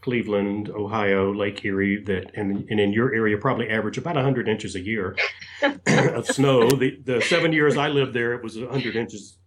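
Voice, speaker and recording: Male interviewer with a lowish voice, loose rambling questions. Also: fairly even intonation, normal speed, a little hiss or hum. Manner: rambling, circling questions with many restarts. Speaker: podcast host, interviewer. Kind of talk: conversational interview.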